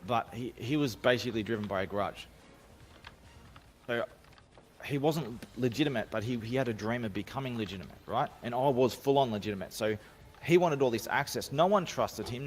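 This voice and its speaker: A man with an Australian accent, talking casually as a guest on a remote call, rambling and self-assured with frequent restarts. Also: medium pitch. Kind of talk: casual podcast storytelling. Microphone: home microphone, remote call.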